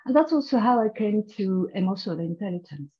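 A woman's voice: mid-range voice, lively intonation, a little fast. A middle-aged woman speaks with a French accent, patiently explaining her work as a guest coach. She thinks aloud and restarts her sentences as she goes. The sound is a little dull and muffled. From France. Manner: thoughtful, explanatory, with frequent restarts. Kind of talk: podcast interview.

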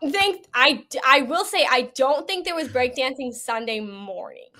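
A woman's voice: high-pitched, lively intonation, normal speed, pushed and bright. A woman giving a personal account as an interview guest, earnest, conversational and emphatic. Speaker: podcast guest, event attendee.

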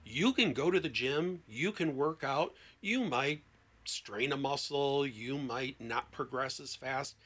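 A man talking as a therapist guest in an animated, conversational way, emphatic and given to acting out imagined dialogue. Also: medium pitch, takes it slowly.

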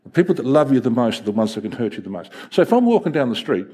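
A middle-aged male pastor, a native Greek speaker, preaching a sermon in an animated storytelling manner. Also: medium pitch, rapid-fire, slightly rough. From Greek heritage, first language Greek. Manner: animated, storytelling with acted voices.

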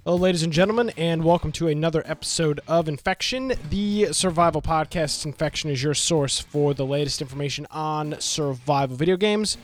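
A man's voice: a little high, brisk, few pauses, faint music behind. Upbeat man hosting a podcast. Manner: upbeat, teasing banter. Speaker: podcast host. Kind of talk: casual podcast chat.

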